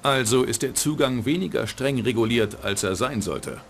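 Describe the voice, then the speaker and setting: A man voicing a gravelly, sardonic private eye. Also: normal speed, a little hiss or hum, lightly acted. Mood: guarded, probing. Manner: dry, sardonic, low-key delivery. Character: hard-boiled male private detective, wry and cynical. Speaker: narrating game protagonist. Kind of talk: dubbed game voice acting.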